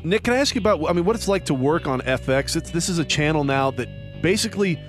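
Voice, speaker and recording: A man's voice: on the high side, lively intonation, quick pace. A man hosting a casual sports and comedy radio show, talking emphatically and repeating words, close on a studio microphone. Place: small studio with acoustic foam. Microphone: close studio microphone with headset. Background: music.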